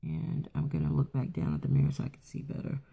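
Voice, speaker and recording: Raspy-voiced woman chatting through a makeup tutorial. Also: sits low, sing-song, fast, mellow. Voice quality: raspy in the morning. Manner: chatty, rambling, many fillers and restarts. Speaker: beauty vlogger. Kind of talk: casual makeup tutorial chat. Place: at home getting ready for work.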